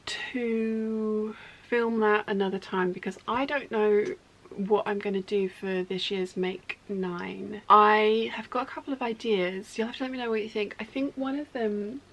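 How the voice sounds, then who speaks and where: Chatty woman rambling on about her sewing plans, voice going a bit hoarse and gravelly. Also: mid-range voice, steady tone, a little slow, fairly bright tone, muffled recording. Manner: rambling, self-correcting, talkative. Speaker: sewing vlogger. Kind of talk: casual chatty vlog. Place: home sewing studio.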